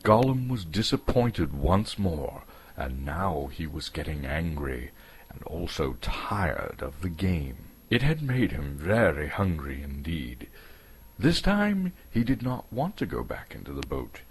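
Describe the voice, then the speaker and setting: A man's voice: a bass voice, very animated, slow, slightly rough. A man from Canada giving a dramatic storybook narration as the narrator.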